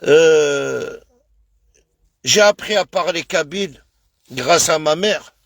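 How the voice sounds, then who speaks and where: High-voiced, slow, emphatic French man. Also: fairly bright tone, no echo, studio quality. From France. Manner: emphatic, repetitive, addresses viewers as brother. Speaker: live streamer. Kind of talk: casual live-stream rant.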